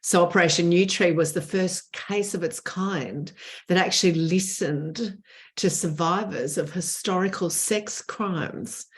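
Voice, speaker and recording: A woman's voice: on the low side, a little slow. A woman in her sixties, a guest in a conversational interview, speaking earnestly and emphatically and repeating words for stress.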